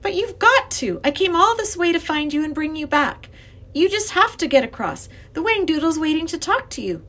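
A woman voicing an earnest, excitable young girl who pleads and insists, in an acted children's storybook reading. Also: extremely high pitch, moderate pace, pitched above the performer's own voice, heavily acted. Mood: pleading.

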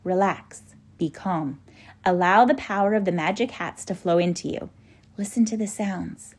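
A woman voicing a kindly, grave male professor in a children's storybook, speaking in an earnest, measured, instructing way. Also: mid-range voice, animated. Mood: calm, instructing.

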